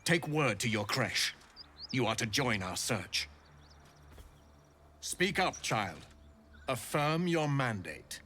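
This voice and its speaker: An actor playing a harsh, zealous alien knight commander in a video game, commanding and declamatory. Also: male voice, medium pitch, big pitch swings, slow, pauses often, pushed and bright, a little hiss or hum, exaggerated voice. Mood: stern command. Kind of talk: dramatic video game acting.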